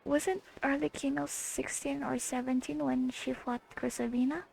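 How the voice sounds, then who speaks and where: A woman giving casual gameplay commentary, thinking aloud in a halting, questioning way as she reacts to a story. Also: sits high, little pitch movement, gravelly, a little mellow, slight background noise, poor audio. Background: faint game music.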